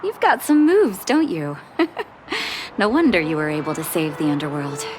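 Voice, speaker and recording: A woman voicing a gentle, composed female doctor with quiet sorrow in video game story dialogue, soft and reflective. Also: deep voice, lively intonation, normal speed, noisy background. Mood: calm, friendly. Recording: background game music.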